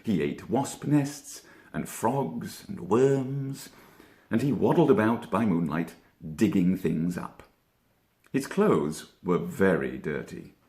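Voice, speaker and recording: A man reading a children's story as an actor would, with theatrical, expressive and deliberately dramatic phrasing. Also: fairly low pitch, creaky, reverberant.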